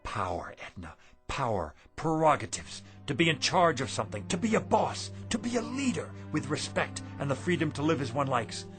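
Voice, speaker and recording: Desperate broke shopkeeper in a radio play, really animated and intense, bit of music behind. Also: male voice, a little high, moderate pace. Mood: eager, grandiose. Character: desperate, poor middle-aged shopkeeper grasping for power. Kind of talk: radio drama acting.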